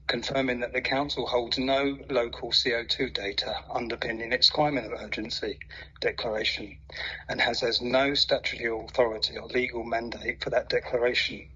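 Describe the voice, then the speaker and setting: A man's voice: mid-range voice, sharp tone, muffled recording, a little hiss or hum. A man, reading a formal, legalistic prepared objection to a planning committee in an emphatic way. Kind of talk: formal prepared statement read aloud at a public meeting.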